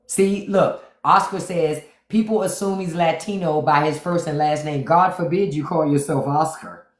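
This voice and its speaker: A woman's voice: low-pitched, normal speed, echoey room, slight background noise. A woman hosting a casual livestream chat, emphatic, repeating her points and reading viewer comments aloud. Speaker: podcast host. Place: home room on a livestream.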